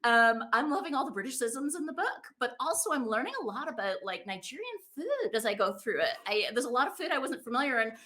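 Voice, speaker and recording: A woman hosts a casual interview. Her voice is fairly high, and she talks in an informal, effusive, chatty way. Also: sing-song, quick pace, few pauses, pushed and bright. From Scotland. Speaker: host, interviewer.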